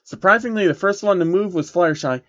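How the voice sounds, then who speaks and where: Sarcastic guy reading fanfic out loud w/ comments, highish voice, kinda muffled mic. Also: fast. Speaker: channel host and narrator. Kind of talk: comedic fan fiction reading with asides.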